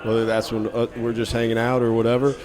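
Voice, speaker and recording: A man's voice: mid-range voice, completely flat delivery, quick pace. A man who coaches a college football team, talking candidly in a media day interview, often repeating and restarting words. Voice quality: loud voice when needed. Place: hotel at a media event.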